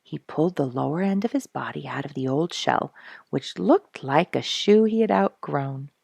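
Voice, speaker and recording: A woman narrating a storybook from a script, expressive, with dramatic emphasis. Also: low-pitched, moderate pace, gravelly, breathy edge, no echo. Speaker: storyteller, show host.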